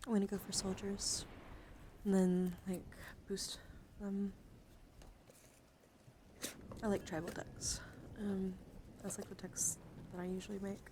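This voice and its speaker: A woman with a mid-pitched voice hosts a livestream in a gentle ASMR style. She speaks softly, often drops into a whisper and lingers on soothing words. Clear ambient noise sits behind her voice. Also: level tone, fairly quick. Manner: soft-spoken, often dropping into whispers. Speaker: livestream host. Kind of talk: ASMR, soft chat to livestream viewers with card reading.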